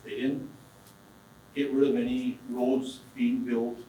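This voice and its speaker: A man's voice: mid-range voice, little pitch movement, slow pace, mellow, slight background noise. A man serving as county supervisor, asking plain-spoken, rambling questions at a public meeting. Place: council chamber. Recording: distant mic in a very echoey hall.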